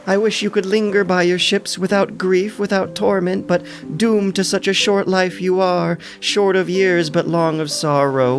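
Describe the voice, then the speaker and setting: A man voicing a grieving sea goddess mother, lamenting tenderly in a drawn-out audio drama delivery. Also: high-pitched, gravelly, voice pushed up, heavily acted. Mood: sorrowful lament.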